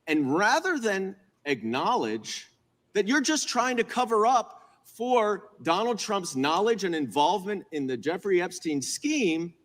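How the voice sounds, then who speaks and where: A male politician making an accusatory, deliberate speech at a hearing, repeating phrases for force. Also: high-pitched, a bit bright. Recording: reverberant hearing room.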